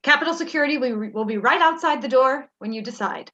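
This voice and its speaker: A woman's voice: high voice, fast, pushed and bright. A woman playing an icy, smugly controlling government director with an officious, falsely polite manner, in a staged play reading. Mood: coldly threatening. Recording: slightly dull, lo-fi audio.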